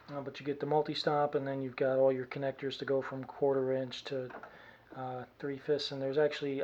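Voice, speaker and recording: Monotone man giving a casual gear demo. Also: medium pitch. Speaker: costume hobbyist demonstrating gear. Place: small room indoors. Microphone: camera mic from a short distance. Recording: dull camera mic in small room.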